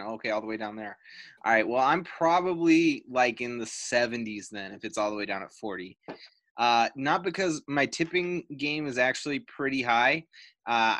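A man hosting a casual sports podcast, self-mocking and awkward, talking fast in bursts. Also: mid-range voice, a bit bright, dry recording. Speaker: podcast host. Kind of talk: casual chat.

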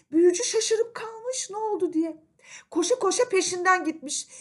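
A woman storyteller narrating a children's fairy tale in an expressive, conversational way. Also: very high voice. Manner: animated, conversational, addresses listeners. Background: faint music.

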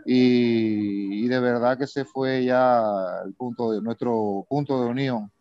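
Polite Cuban singer, dry dull line. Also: male voice, medium pitch, fairly even intonation, dark tone, no echo. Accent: Cuban Spanish. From eastern Cuba. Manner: polite, reflective, unhurried. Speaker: singer, guest. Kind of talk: casual interview.

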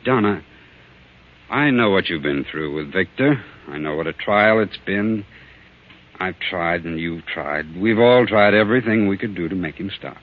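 A stern family doctor in a vintage radio play. His very low voice moves widely in pitch as he commands and persuades. It comes through thin and tinny, like an old broadcast. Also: lively intonation. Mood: grave, persuasive. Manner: firm, deliberate, commanding. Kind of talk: 1940s radio drama acting. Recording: tinny, narrow-band old radio recording.

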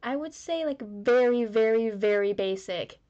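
A woman's voice: a little high, lively intonation, a little slow, dark tone, highs cut off. A young woman in a casual podcast chat, hesitant and correcting herself as she goes. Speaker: podcast co-host.

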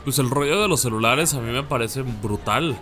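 A man's voice: medium pitch, normal speed, bright, forceful tone, faint music behind. A man with a Mexican accent co-hosting a casual podcast chat, blunt and slangy, with frequent swearing. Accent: Mexican Spanish. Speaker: podcast co-host.

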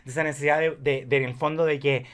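A man, chatting casually in an expressive, campy way and telling digressive stories about films. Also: mid-range voice, quick pace. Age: 30s. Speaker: podcast guest or co-host discussing films. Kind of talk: casual conversational chat.

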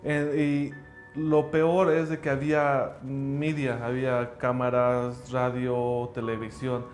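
Man, native English speaker, mid-pitched, earnest motivational school pitch; roomy sound, light music bed. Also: monotone, slow pace, a little mellow. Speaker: language school director. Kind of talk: motivational promotional talk. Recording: roomy echo with faint music.

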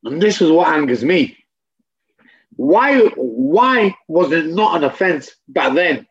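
A man's voice: a little high, sing-song, takes it slowly, slightly rough, lo-fi sound. A man, a guest in a candid interview, talking bluntly and profanely and hammering points home by repeating them. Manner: blunt, profane, emphatic repetition. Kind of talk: candid conversation.

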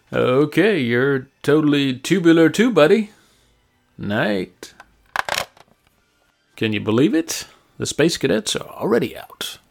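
A jaded veteran late-night DJ played by a man in a radio drama. He has a gravelly male voice and talks in casual, teasing, stammering asides, as if he has seen it all. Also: a little high, big pitch swings, slow, pauses often, faint music behind, heavily acted. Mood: amused, weary. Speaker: actor in an audio drama. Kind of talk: naturalistic radio drama acting.

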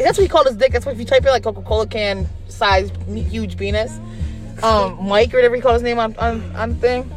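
A young woman co-hosting a podcast, swearing and laughing through loud, slangy banter. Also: high-pitched. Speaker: podcast co-host. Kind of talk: casual chat. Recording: background music under the talk.

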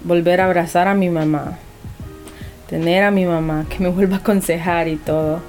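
A woman's voice: fairly low pitch, steady tone, moderate pace, a little mellow, faint music behind. A young woman, speaking earnestly as an interview guest and often restarting her sentences. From Honduras. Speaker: anonymous guest. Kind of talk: personal interview.

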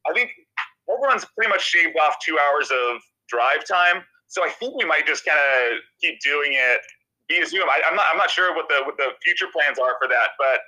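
Nasal, bright-voiced man, stammering chat. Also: a little high, expressive, low-quality recording. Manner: halting, many restarts and fillers. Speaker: TV comedy writer, guest. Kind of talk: casual interview chat.

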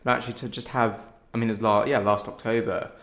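A man's voice: lowish voice, little pitch movement, quick pace, fairly bright tone. A young man, a theatre director, chatting casually as an interview guest with wry self-deprecation in a roomy space. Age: young adult.

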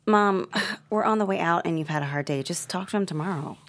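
A woman's voice: on the low side, fairly quick, lightly acted. A woman playing an idealistic, outspoken college-age daughter in a scripted table read. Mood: accusing.

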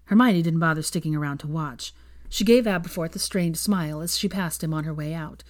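Low-pitched woman narrating a story. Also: fairly quick, dry recording, clean studio sound. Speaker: audiobook narrator, fan fiction reader.

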